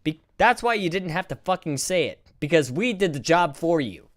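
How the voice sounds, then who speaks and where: A man's voice: on the high side, moderate pace. 30s guy chatting on his stream, voice bounces around a lot, swears a bunch. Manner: animated, rambling, profane. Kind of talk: casual live-stream commentary.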